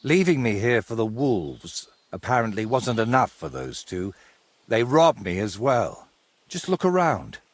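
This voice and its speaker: An actor playing a wry, courteous, middle-aged medieval painter in a video game, with a husky voice and a wordy, theatrical way of talking. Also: male voice, lowish voice, big pitch swings, unhurried, dry recording. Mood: earnest. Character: wry, wordy ageing medieval painter, robbed but polite. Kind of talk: game cutscene dialogue.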